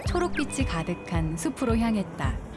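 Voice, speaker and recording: A woman's voice: fairly high pitch, moderate pace. Woman narrating over music. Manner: calm, lyrical. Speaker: documentary narrator. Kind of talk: scripted travel narration.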